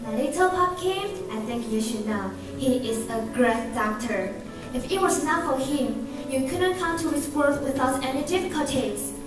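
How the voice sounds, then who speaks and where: A young woman plays a tender, grateful mother in a radio drama. Her voice is high and sits far from the mic in a very echoey hall, with music behind. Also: moderate pace, close to an everyday voice. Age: young adult. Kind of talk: student radio drama acting.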